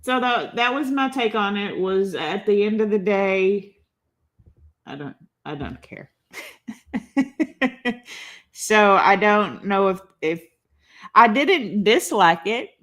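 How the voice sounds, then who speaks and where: A woman's voice: medium pitch, expressive, slow pace, pauses often, a bit bright. A woman vlogger chatting casually on a live stream, rambling and laughing often, a little congested. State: slightly congested. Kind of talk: casual live-stream chat while building a toy.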